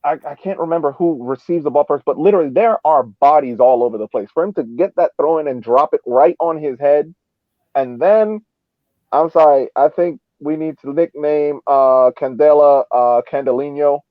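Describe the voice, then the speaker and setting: A man discusses soccer casually, his voice squeezed through a phone-like, band-limited connection with little room sound. He speaks emphatically and haltingly, repeating words as he works toward his point. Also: a little high, no echo. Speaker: soccer podcast panelist.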